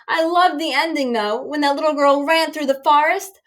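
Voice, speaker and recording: A sporty woman gym teacher from a storybook, acted by a reader talks in a raised, high pitch. She is helpful and upbeat. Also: normal speed, a bit bright.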